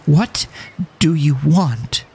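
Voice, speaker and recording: A man's voice: a little high, expressive, very slow, vocal fry, a little mellow, a little hiss or hum, pitched above the performer's own voice, exaggerated voice. A man voicing a frightened, grief-stricken girl in an audio horror story, desperate and fierce, with a cracking delivery. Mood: tearful, demanding. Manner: stammering, cracking, pleading delivery.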